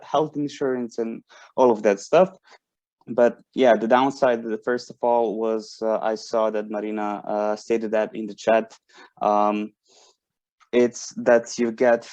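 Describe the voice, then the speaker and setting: A man's voice: on the low side, darkish tone, no echo. A man in his twenties from Bosnia, a university student speaking informally to prospective students, hesitant, with many fillers and restarts. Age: 20s. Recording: dry, dull lo-fi sound.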